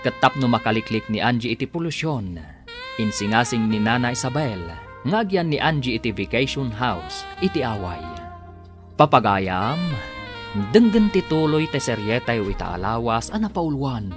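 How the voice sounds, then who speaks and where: A man announces a radio drama serial in a theatrical, scripted style. He, and music plays softly behind his voice. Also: medium pitch, very animated, moderate pace.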